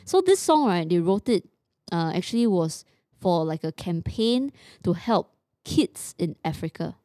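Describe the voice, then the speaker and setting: A woman's voice: fairly low pitch, very animated, a little slow, warm tone, no room sound, clean studio sound. A woman with a Singaporean accent co-hosting a podcast in casual chat, mixing English with Mandarin. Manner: code-switches between English and Mandarin. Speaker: podcast co-host.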